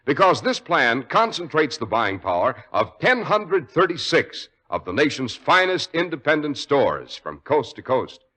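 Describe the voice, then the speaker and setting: A man's voice: medium pitch, sharp tone. A man with an American accent announcing and hosting in 1940s radio style, with a polished, salesmanlike manner.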